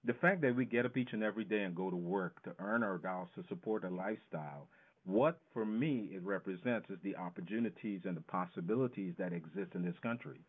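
A man's voice: low-pitched, fairly quick, slightly rough. A man explaining things as an interview guest, emphatic and fond of posing questions that he then answers himself. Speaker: financial educator, interview guest. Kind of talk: explanatory radio interview talk. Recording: narrow, tinny phone-line sound.

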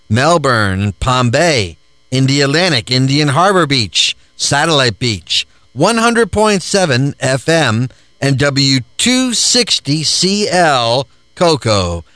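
Male station announcer, unhurried, lively pitch, dry close sound. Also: no room sound. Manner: measured, reads out call signs. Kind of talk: station identification.

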